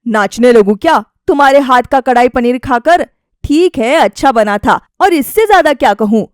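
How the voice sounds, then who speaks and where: A woman's voice: high voice, animated, normal speed, dry recording, studio quality. A woman voicing a curt, indifferent young husband in a story, dismissive and irritated.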